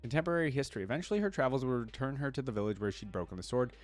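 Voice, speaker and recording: A man giving casual reaction commentary as an online creator, reading wiki text aloud and thinking out loud about it. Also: medium pitch, lively intonation, rapid-fire, faint music behind. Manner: thinks aloud, interjecting between read passages.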